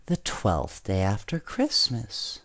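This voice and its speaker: A man voicing a kindly old woman in a bedtime story, gently reminiscing and explaining as she teaches knitting. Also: lowish voice, huge pitch swings, slow pace, vocal fry, full character voice.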